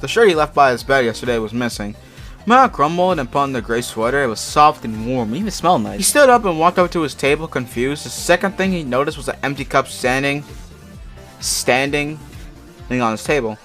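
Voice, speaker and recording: A man's voice: a little high, fairly bright tone. Sweary man reading aloud over music. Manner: sweary, mocking commentary, stumbling over the text. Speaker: amateur fan fiction reader. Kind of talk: casual read-aloud of a story with asides. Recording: music playing behind the voice. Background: background music.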